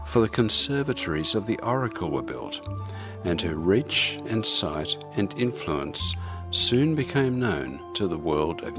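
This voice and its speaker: Male radio host, deep low register, theatrical scripted narration, prominent music bed. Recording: music behind the voice.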